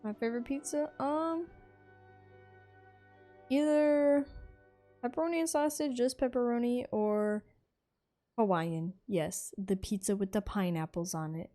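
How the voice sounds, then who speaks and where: A woman doing casual gameplay commentary, with slangy, playful, deadpan asides. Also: on the high side, a little slow, pauses often, soft background music. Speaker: game streamer.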